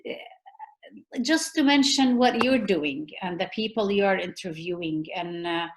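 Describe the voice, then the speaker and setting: A middle-aged woman with a Middle Eastern accent, speaking earnestly on a video call from home and often pausing to search for words. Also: mid-range voice, expressive, slight background noise, low-quality recording. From Middle East. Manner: earnest, searching for words with many fillers and restarts. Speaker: guest, peace activist. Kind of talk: reflective conversation. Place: room at home. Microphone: laptop mic on a video call.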